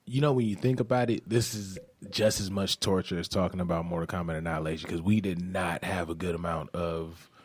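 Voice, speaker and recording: A man's voice: deep voice, fairly even intonation, fairly quick, few pauses, husky. A man co-hosting a podcast, debating pop culture casually in a blunt, opinionated way. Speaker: podcast co-host.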